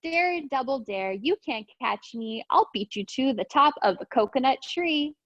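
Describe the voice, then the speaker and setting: A woman teacher reading aloud to young children in an expressive, sing-song, encouraging way. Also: sits high, measured pace, dry recording, lo-fi sound. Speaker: preschool teacher reading storytime.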